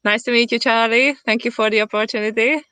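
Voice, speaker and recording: A woman from Hungary with a Hungarian accent, chatting as an interview guest in a halting way as she searches for words. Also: a little high, steady tone, sharp tone, clear, resonant tone, no room sound. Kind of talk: casual conversational interview. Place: at home in the countryside.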